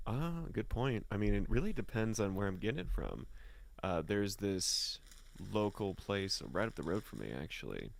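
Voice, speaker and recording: A man's voice: fairly low pitch, sing-song, normal speed, creaky. An American man chatting casually as a guest, rambling and joking as he tells stories. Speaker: musician and guest on the show. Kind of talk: casual chat, storytelling.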